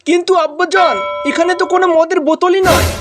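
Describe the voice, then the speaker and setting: A woman's voice: very high voice, fairly even intonation, fast talker. A woman voicing a bickering drunkard in a comic Bangla cartoon fan dub, exclamatory and prone to rhyme. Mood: excited scheming. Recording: music behind the voice. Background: music.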